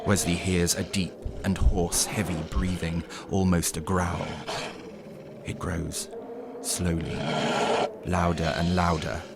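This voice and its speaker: A man narrating a scripted horror story in a dramatic, deliberate storytelling manner. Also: deep voice, flat delivery. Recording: clearly noisy background ambience.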